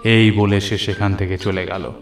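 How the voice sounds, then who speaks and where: A man's voice: lowish voice, level tone, moderate pace. A man narrating a scripted horror story in the first person, theatrical and suspenseful. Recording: echoey, music underneath.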